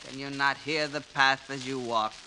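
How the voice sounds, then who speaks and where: A man's voice: mid-range voice, fairly even intonation, normal speed, bright, forceful tone. Piercing, commanding valley man. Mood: stern, ordering. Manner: formal, archaic, commanding. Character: stern blind valley villager, commanding, rigid guardian. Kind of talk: 1940s radio drama acting. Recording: noisy old 1940s radio recording.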